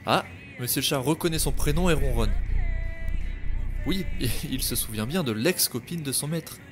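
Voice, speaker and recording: A man tells a scripted podcast story in a wry voice pitched in the middle range. Music plays clearly behind him. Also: normal speed. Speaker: narrator.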